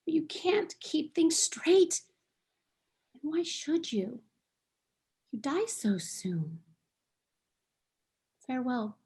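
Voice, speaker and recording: A woman reading the part of a lonely female unicorn searching for others of her kind, in a slow, wistful way. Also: on the high side, a little mellow. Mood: tender. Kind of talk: play table read.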